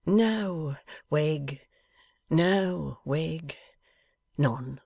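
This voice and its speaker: Man playing a meek, despondent, high-voiced older husband. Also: sing-song, dry recording. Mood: meek, sad. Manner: slow, sad, gentle, tame. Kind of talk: dramatised audiobook character voice.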